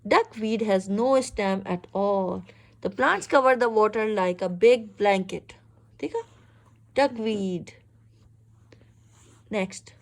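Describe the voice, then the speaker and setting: A woman reads a children's picture book aloud in an expressive, instructive way, pausing to ask questions. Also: mid-range voice. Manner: expressive, instructive, exclaims and checks understanding. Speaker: reader teaching a young child.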